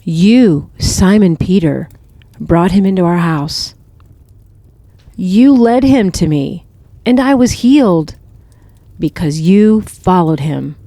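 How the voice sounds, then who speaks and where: A woman playing a wise, tender older mother in an audio drama, speaking in a gentle, reassuring and earnest way. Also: lowish voice, animated, slow pace, pauses often, dry recording, full character voice. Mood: earnest, consoling.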